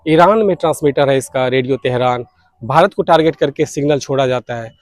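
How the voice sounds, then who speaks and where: A man's voice: mid-range voice, fairly quick, no room sound. A man narrating informally as a vlog host, explaining things step by step. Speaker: shortwave radio vlogger, host.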